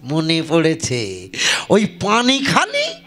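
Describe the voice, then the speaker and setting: A man voicing a wily, excitable village matchmaker in a comic puppet play, with a wheedling, exaggerated delivery. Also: fairly high pitch, takes it slowly, heavily acted. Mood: gleeful scheming. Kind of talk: comic Bengali folk puppet theatre.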